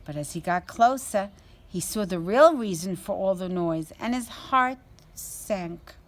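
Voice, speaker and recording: A woman reading a children's story aloud as a storyteller, in an expressive, deliberate way. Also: medium pitch.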